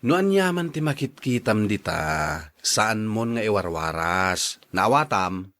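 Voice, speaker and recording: A man's voice: fairly low pitch, very animated, measured pace, fairly bright tone, heavily acted. An actor playing a blunt, exasperated man who questions a gossip in a radio drama. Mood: challenging.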